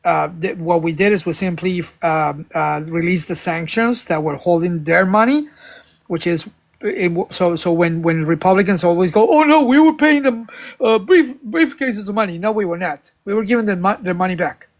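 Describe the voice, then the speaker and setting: A man's voice: high-pitched, moderate pace. Guy on a live panel ranting about policy, tons of restarts, emphatic. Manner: rambling, stumbling restarts, emphatic. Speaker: panel speaker. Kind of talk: casual political discussion.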